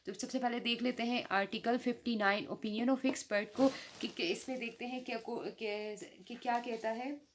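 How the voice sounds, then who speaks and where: A woman with a Pakistani accent lecturing informally on legal articles, explaining step by step and slowing for English terms. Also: on the high side, fairly even intonation, slight background noise. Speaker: law lecturer.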